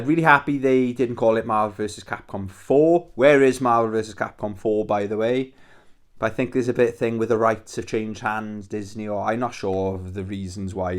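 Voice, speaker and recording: A man's voice: on the low side, fairly even intonation, moderate pace. A Welsh man hosting his own channel, chatting casually and enthusiastically about his games, swearing freely and restarting his sentences. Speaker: YouTube channel host. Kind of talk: casual rambling video chat about his game collection.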